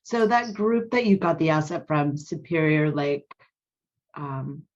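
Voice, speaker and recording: A woman's voice: sits low, lively intonation, measured pace. A woman asking a company CEO direct, pointed questions at a conference. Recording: dull lo-fi audio.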